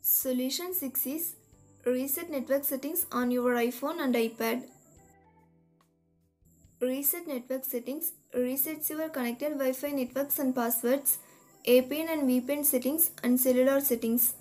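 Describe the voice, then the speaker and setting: A woman reading a scripted step-by-step tech tutorial in a measured, instructional manner. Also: sits high, steady tone, dark tone. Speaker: tutorial narrator. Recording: voice-over with music behind. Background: background music.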